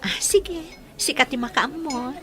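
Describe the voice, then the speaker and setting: A woman's voice: sits high, measured pace, sharp tone. A woman acting an agreeable, coy young village sweetheart in an Ilocano radio drama.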